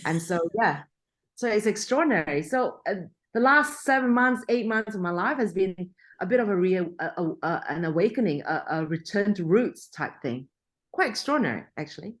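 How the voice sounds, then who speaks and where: A woman's voice: mid-range voice, animated, normal speed. A middle-aged woman, a journalist, talking casually in an interview with frequent restarts. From Australia. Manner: chatty, frequent restarts and laughter. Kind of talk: interview conversation.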